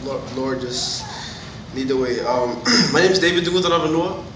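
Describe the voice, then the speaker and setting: A man in his twenties from Fiji with a Fijian accent, giving a personal testimony to a group in an earnest, halting way. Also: mid-range voice. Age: 20s. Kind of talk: personal testimony, informal public speaking. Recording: roomy, distant mic, noticeable background noise.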